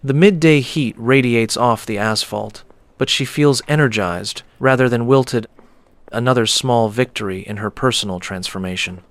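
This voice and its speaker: A man narrating a scripted audio story in a measured, descriptive manner. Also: medium pitch, no echo.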